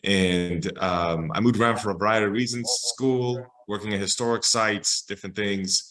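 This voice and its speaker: A man with an American accent and a fairly low voice talks in an interview. He explains things like a storyteller who knows history, in an informal, conversational way, often checking that the listener follows. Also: fairly even intonation, moderate pace, a bit bright, rough recording. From Los Angeles, United States. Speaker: public historian, interview guest.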